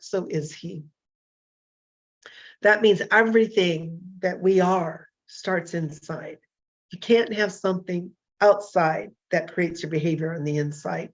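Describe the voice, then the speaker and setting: A hoarse woman in her fifties hosting a casual solo talk show, rambling and self-deprecating with many fillers and restarts. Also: on the low side, dull audio. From California. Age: 50s. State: hoarse from illness.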